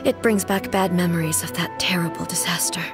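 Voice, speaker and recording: A woman playing a solemn, regal immortal ruler in a video game, speaking in a formal, measured and grave way. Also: fairly low pitch, steady tone, close to an everyday voice. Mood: grave, somber. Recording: music behind the voice.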